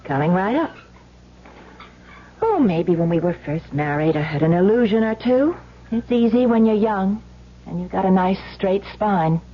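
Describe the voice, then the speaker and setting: A woman's voice: medium pitch, animated, normal speed, a little hiss or hum, lightly acted. An actress playing a wry, bitter wife of a crook, probing as she talks, in a 1970s radio drama. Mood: wry, hospitable. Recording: dull lo-fi old radio recording.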